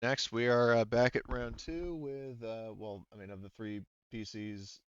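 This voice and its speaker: A man working as game master, narrating a tabletop roleplaying game casually, thinking aloud haltingly and swearing freely. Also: mid-range voice, steady tone, muffled recording, crowd noise.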